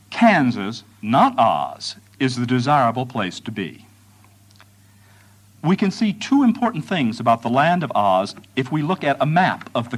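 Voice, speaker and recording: A man delivering a prepared lecture in measured, deliberate phrases, pausing for emphasis. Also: mid-range voice.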